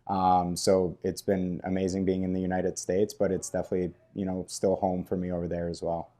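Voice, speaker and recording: A man, a head coach whose first language is Russian, answering in a casual sports interview in an office, relaxed with many fillers. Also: low-pitched, brisk. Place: coach's office at an ice rink.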